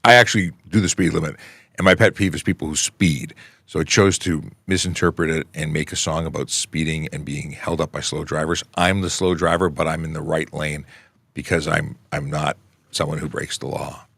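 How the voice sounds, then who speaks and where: A man's voice: rumbling low, fairly even intonation, normal speed, vocal fry, a bit bright, slightly rough, no echo. A middle-aged man from Canada, a traffic police officer hosting a casual livestream Q&A, reading viewer questions and answering them plainly into a close microphone. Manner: chatty, reads questions aloud and answers plainly. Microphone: close studio microphone.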